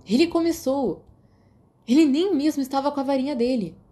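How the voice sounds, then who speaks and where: A woman's voice: high-pitched, a little fast, warm tone, voice pushed up, close to an everyday voice. Woman doing an insolent, sarcastic teenage boy. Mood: defensive. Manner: sarcastic, defensive. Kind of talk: audiobook character voice.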